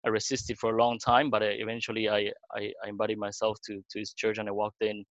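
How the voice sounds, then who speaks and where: A middle-aged man from Argentina, a pastor, sharing his story in a halting way with many fillers and restarts. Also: lowish voice, monotone, no echo, highs cut off. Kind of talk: panel talk.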